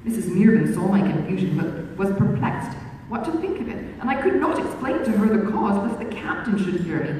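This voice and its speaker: A woman reading a period novel aloud at a book launch, in an expressive, measured storytelling manner. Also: mid-range voice. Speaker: author reading her book. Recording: echoey hall, distant mic, faint room noise.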